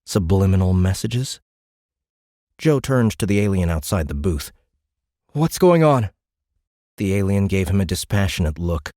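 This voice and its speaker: Very deep male audiobook narrator, dry sound. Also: moderate pace, dark tone, no echo. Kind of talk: dramatic scripted narration.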